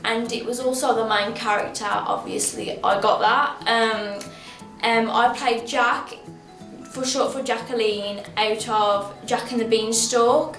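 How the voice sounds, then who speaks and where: A woman's voice: on the high side, fairly even intonation, a little slow, a bit bright. A teenage girl, a drama student, informally explaining her stage role. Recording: echoey room, music underneath.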